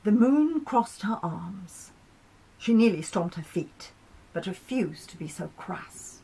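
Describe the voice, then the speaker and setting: A woman narrating a short story in an expressive storytelling style. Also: mid-range voice, takes it slowly, slightly rough, low-quality recording. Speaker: author reading her own short story.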